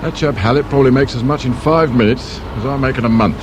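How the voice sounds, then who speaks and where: An actor playing a brusque, world-weary detective inspector with a British accent in a radio drama. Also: male voice, mid-range voice, fast talker, lightly acted. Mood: grumbling, cynical. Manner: sardonic, brusque, grumbling. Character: gruff, cynical, world-weary male police detective inspector. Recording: faint noise, old radio drama.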